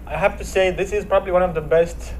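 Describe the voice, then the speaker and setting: A man from Romania with a Romanian accent, giving an earnest inspirational talk at a church service, slowing down to stress key phrases. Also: sits high, steady tone, background noise. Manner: earnest, measured storytelling with slowed emphatic phrases. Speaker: guest speaker.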